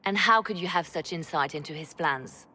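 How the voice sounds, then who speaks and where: Casting: shrewd fantasy-game counselor, slightly low female voice, composed and formal. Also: normal speed, pushed and bright, a little hiss or hum. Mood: skeptical. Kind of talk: dramatic fantasy game acting.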